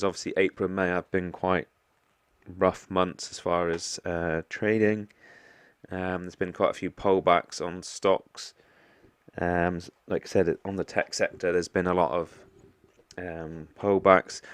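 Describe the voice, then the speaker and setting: A man's voice: sits low, clean tone, dry recording. A man with a stuffy, congested voice from a cold, hosting a casual portfolio walkthrough vlog and often hesitating with ums and restarts. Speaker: investing vlogger.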